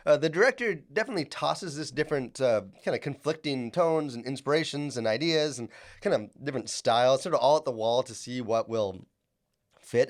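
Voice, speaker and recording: A man who is sick and has lost his voice, chatting casually with many fillers and restarts as he reviews a film for his channel. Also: medium pitch.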